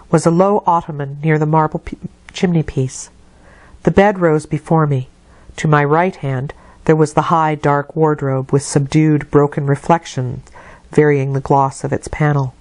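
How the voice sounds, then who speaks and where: A woman reading a classic novel aloud as an audiobook narrator, in a measured, expressive literary delivery. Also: low-pitched, no echo, rough recording. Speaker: audiobook reader.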